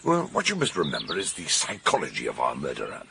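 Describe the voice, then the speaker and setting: An actor playing a blunt British detective who reasons emphatically in a radio mystery drama. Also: male voice, a bass voice, huge pitch swings, gravelly, sharp tone, slight background noise, exaggerated voice. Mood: emphatic, argumentative. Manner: emphatic, argumentative, deliberate.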